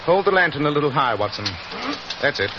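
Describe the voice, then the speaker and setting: An actor playing a precise, confident, commanding British gentleman detective in 1940s radio style. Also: a little high, animated, quick pace, natural voice. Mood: triumphant. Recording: muffled 1940s radio, reverberant, hissy.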